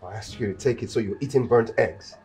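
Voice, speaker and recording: An actor with a Nigerian accent playing a hot-tempered, domineering young house owner in a film drama, scornful and haranguing. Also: male voice, mid-range voice, fast, mellow, lightly acted.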